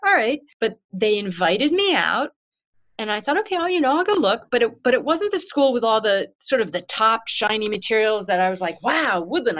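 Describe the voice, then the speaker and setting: A woman who heads a school, answering interview questions in an earnest, animated way and acting out her own past thoughts. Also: a little high. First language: English. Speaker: head of school. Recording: tinny phone-like line.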